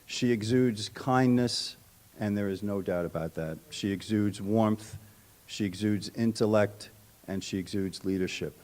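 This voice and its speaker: Low-voiced man giving a tribute. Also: unhurried, a little mellow. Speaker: school administrator giving a tribute. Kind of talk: prepared tribute speech with informal asides. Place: public school board meeting room.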